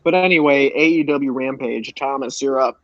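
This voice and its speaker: A man's voice: mid-range voice, moderate pace, fairly bright tone, no room sound, dull audio. A man hosting a podcast, casually recapping wrestling shows and handing over to his co-hosts. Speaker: podcast host leading the roundup.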